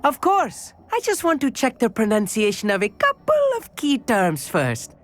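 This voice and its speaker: Bookish teenage wizard boy, earnest, slightly pompous, very animated delivery, game dialogue. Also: high-pitched, normal speed, exaggerated voice. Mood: excited, proud. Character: eager, bookish, polite teenage wizard schoolboy. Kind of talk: fantasy video game dialogue. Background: faint background music.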